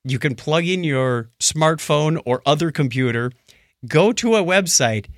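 A middle-aged or older man hosting a casual tech talk show, chatting conversationally and thinking out loud as he goes. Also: fairly high pitch, animated, unhurried, no room sound, clean studio sound. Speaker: podcast host.

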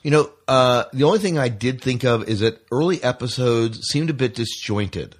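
A man's voice: mid-range voice, lively intonation, moderate pace, husky. A man hosting a podcast in casual fan chat, chatty and opinionated, often prompting his co-host.

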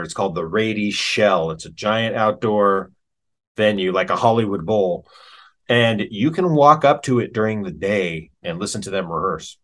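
A middle-aged American man chatting casually as an interview guest, telling stories in an animated, colloquial way. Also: fairly low pitch.

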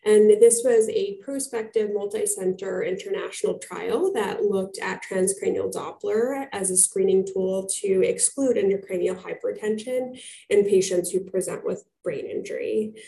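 Gravelly-voiced woman introducing a speaker. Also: mid-range voice, normal speed, warm tone. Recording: roomy, echoey.

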